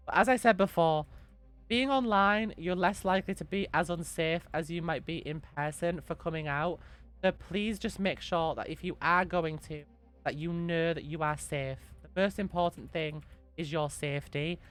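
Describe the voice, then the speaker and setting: A young woman, a little hoarse from being sick, gently answering chat questions on a live stream and repeating her reassuring advice. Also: fairly low pitch, normal speed, faint music behind.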